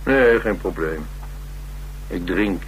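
An actor playing a rough, hard-drinking drifter and former mechanic in a radio drama, speaking tersely with dry, slangy nonchalance. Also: male voice, low voice, animated, takes it slowly, poor audio. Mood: blunt, defiant. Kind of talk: naturalistic Dutch radio drama acting. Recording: radio recording with background hiss and hum.

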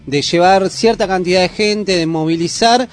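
Male panelist, fairly high voice, casual radio discussion. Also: moderate pace, soft background music.

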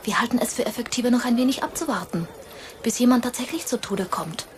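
A woman's voice: fairly high pitch, quick pace, a little hiss or hum, natural voice. A woman playing a polite, coolly ruthless media executive in a radio drama, formal and clipped. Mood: cold, courteous.

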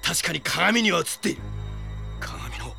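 A man's voice: on the high side, sing-song, measured pace, creaky, sharp tone, soft background music, full character voice. An actor playing a brash, impassioned French swordsman bent on avenging his sister in a Japanese audio drama. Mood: uneasy.

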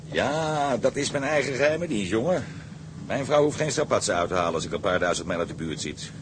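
An actor playing a hearty, boastful, gossipy ship's engineer in a Dutch radio drama, talking in a chummy, colourful, colloquial way. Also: male voice, mid-range voice, animated, brisk, lightly acted. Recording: noisy engine ambience behind.

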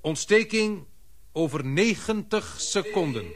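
An actor playing a commanding male expedition leader in a Dutch radio drama, speaking in a deliberate, formal manner. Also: high voice, expressive, full character voice. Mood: solemn, commanding.

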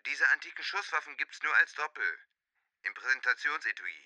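A man's voice: on the high side, expressive, brisk, sharp tone. A man playing a businesslike police detective giving a report in a German radio drama.

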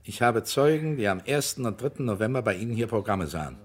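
Stern investigator, low voice, deliberate, insistent, formal, radio crime drama. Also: male voice, lively intonation, husky. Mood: stern, pressing. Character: stern, persistent male investigator pressing a witness.

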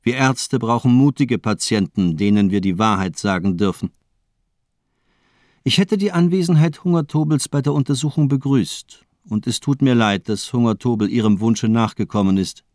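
Guy acting smooth doctor villain in a radio drama, medium pitch, formal n composed, bit sinister, zero room sound. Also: normal speed, darkish tone, no room sound, studio quality, natural voice. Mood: smooth, guarded. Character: suave male surgeon, worldly, composed, secretly menacing.

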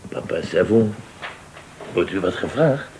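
An actor playing a smug, teasing office clerk in a Dutch radio drama, mock-polite and insinuating. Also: male voice, low-pitched, lively intonation, unhurried, darkish tone, slight background noise, poor audio. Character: needling male office clerk, smugly teasing a colleague.

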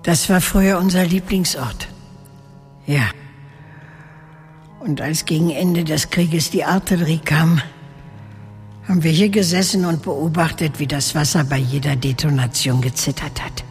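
An actress playing an elderly, gruff and wistful cave woman in a radio drama, speaking in curt, cryptic phrases. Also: sits low, fairly even intonation, a little slow, pauses often, soft background music. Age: older, elderly. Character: mysterious old cave woman, gruff, wistful, keeps a fox. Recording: long cavernous reverb.

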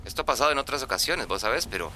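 A man's voice: medium pitch, big pitch swings, very fast, bright, forceful tone, slight background noise. An actor playing a worried, earnest man sharing news conversationally in a radio drama. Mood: concerned.

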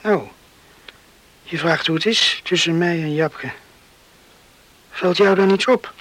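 Troubled young countryman, halting, bright high voice, radio play. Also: low-quality recording. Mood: pensive, subdued. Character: young Dutch farm man, troubled, hesitant lover.

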